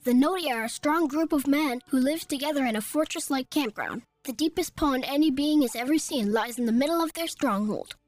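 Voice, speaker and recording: A tiny, fluffy tree creature with a squeaky, very high voice. It sounds proud and clever and talks in a prim, chattering way. The recording is dry and close, with faint music underneath. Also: male voice, fairly quick, hardly any pauses, dry recording.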